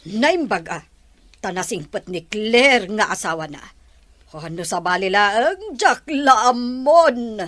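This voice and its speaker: A commanding middle-aged mother orders her maid about, as a woman plays her. Her mid-pitched voice moves a lot, recorded dry and close. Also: expressive, slow pace, a bit bright, no echo. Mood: talking. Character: mother of a rich family, middle-aged, bossy. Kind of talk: Ilocano audio drama acting.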